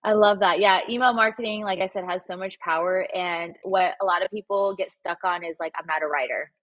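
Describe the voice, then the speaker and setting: A Spanish-speaking woman in her thirties from Puerto Rico, giving advice in a lively video-call interview through earbuds. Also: on the low side, brisk, lo-fi sound. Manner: animated, acts out quoted voices. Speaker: guest photographer and coach. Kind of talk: casual livestream interview. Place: room at home. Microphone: wireless earbuds, video call.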